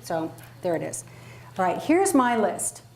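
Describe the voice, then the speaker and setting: A woman's voice: on the low side, very animated, measured pace, a little mellow. Emphatic woman coaching a workshop, noisy room. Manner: emphatic, conversational, asks the audience rhetorical questions. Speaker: public speaking coach leading a workshop. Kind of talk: interactive workshop presentation. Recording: live room recording with clear background noise.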